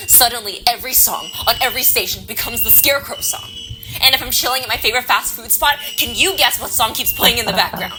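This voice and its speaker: A woman's voice: fairly high pitch, fast talker, over music, lightly acted, low-quality recording. A woman playing a panicked, sarcastic young woman haunted by a curse in a horror audio play. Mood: frantic, sarcastic. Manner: flustered, sarcastic, nervous laughter. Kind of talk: audio play acting, naturalistic horror-comedy dialogue.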